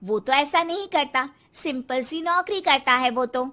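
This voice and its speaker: Lady doing a witty young sis-in-law, high and bright, calm jabs. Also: unhurried. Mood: teasing. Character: sensible young sister-in-law, teasing. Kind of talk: cartoon story dubbing.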